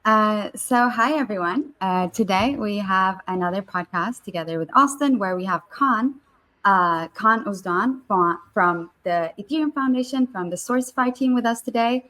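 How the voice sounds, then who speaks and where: A woman co-hosting a podcast, opening the show and introducing the guest in a halting way with fillers. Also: mid-range voice, fairly bright tone, rough recording. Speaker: podcast co-host.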